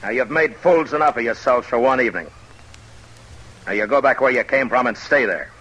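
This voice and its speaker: An actor playing a tough, terse frontier marshal with a dry, commanding manner in an old radio western. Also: male voice, mid-range voice, brisk, slightly rough, rough recording. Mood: stern, commanding. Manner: terse, commanding, dryly sardonic. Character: tough, stern frontier US marshal telling his own story. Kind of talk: 1950s radio western acting and narration. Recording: old radio broadcast with loud music behind.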